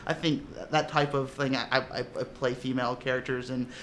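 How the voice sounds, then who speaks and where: A man talking casually in an interview as a tabletop player, telling lively stories with frequent laughs and restarts. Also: mid-range voice, brisk, slight background noise. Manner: animated, laughing storyteller with many restarts.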